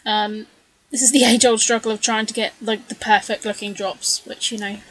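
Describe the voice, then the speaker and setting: Bright, chatty woman narrating crafts. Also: a little high, flat delivery, normal speed, rough recording. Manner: chatty, rambling, many ums and self-corrections. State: slightly losing her voice. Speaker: scrapbooking video creator. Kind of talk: casual voice-over narration of a craft process.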